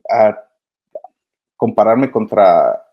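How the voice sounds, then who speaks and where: Low, creaky man, hesitant. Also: animated, extremely slow. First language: Spanish. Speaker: interview guest, migrant children's advocate. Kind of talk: casual, earnest interview answers. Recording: slightly dull, lo-fi sound.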